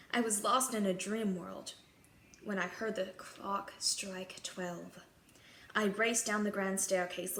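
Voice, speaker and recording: A woman narrating a scripted children's fairy tale in the first person, in character as a princess. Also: mid-range voice. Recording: echoey room, clear background noise.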